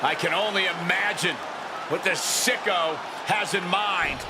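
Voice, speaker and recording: A man's voice: high voice, a little slow. A man playing a wrestling play-by-play commentator, calling moves in an emphatic, exclamatory way. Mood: intense. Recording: loud crowd noise and ambience.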